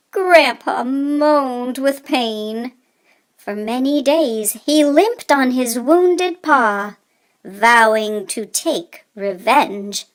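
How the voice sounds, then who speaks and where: A woman narrates a children's story in a high voice. Her delivery is slow and deliberate, with lively swings in pitch and theatrical stress on key words. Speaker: storyteller reading a children's book aloud. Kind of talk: expressive read-aloud narration for children.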